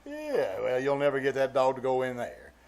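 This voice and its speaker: A man's voice: medium pitch, wildly swooping pitch, normal speed, a little mellow. A man playing a pompous, flustered middle-aged uncle in 1940s radio comedy style, hemming and indignant. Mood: bemused, fatherly.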